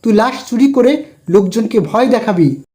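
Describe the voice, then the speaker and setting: A woman's voice: fairly high pitch, expressive, moderate pace, dark tone, natural voice. A woman voicing a bossy, cunning sorcerer who gives commands in a cartoon. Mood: commanding, smug. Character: scheming corpse-stealing sorcerer, bossy and cunning. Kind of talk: cartoon villain dialogue.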